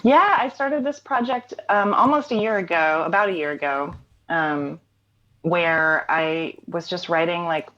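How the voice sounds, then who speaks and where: A woman with a notably low voice chats casually as a guest, unhurried and warm with frequent small laughs. The recording is slightly dull and lo-fi, like a remote call. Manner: relaxed, laughs often, many fillers. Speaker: interview guest, writer and songwriter. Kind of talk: casual interview chat.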